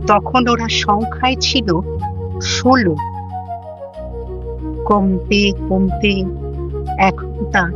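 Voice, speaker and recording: A woman's voice: mid-range voice, drawn-out words, pauses often, lo-fi sound, music in the background. A woman narrator delivering dramatic scripted narration for an audio drama.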